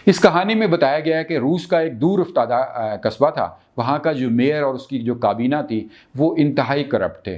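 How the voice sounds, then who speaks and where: Pakistani man giving halting, deliberate commentary. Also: mid-range voice, a little mellow. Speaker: show host and political analyst. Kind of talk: political commentary and storytelling to viewers.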